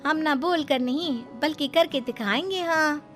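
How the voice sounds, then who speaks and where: A woman's voice: high-pitched, a little slow, a bit bright, faint music behind, pitched above the performer's own voice. A woman voicing a stubborn, thrifty young village daughter-in-law in a cartoon story, emphatic and protesting. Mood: determined.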